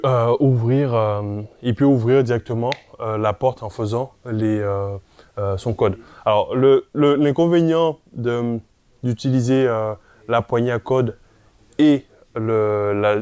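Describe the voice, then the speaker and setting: A man's voice: medium pitch, a little mellow, no echo. A man presenting an informal tutorial, explaining step by step, speaking directly to the viewer and often checking they follow. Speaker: presenter of a property investment tutorial.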